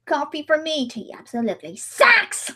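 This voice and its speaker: A woman with a high, very lively voice hosts a casual livestream. Her pitch swings freely as she chats in an informal, exclaiming manner. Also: moderate pace. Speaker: livestream host.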